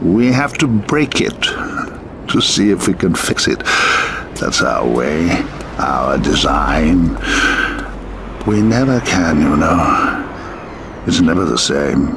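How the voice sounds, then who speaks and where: An actor playing a weary, urbane old spymaster in a video game, musing theatrically and cryptically in drawn-out phrases. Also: male voice, extremely low pitch, big pitch swings, noisy background, full character voice. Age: older. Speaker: mysterious spymaster. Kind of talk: video game cutscene dialogue and narration.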